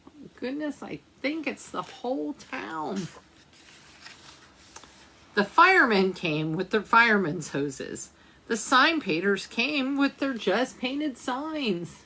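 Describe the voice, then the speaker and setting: A woman's voice: fairly high pitch, very animated, slow pace, pushed and bright, a little hiss or hum. A woman reading a picture book aloud for children's storytime, speaking to young listeners as she goes. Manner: addresses young listeners with questions and asides. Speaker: librarian storytime reader.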